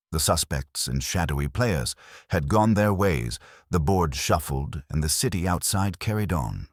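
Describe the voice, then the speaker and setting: A man narrating a detective story in a measured, dramatic literary style, as for an audiobook. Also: a bass voice, husky, no echo, studio quality. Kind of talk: scripted first-person detective story narration.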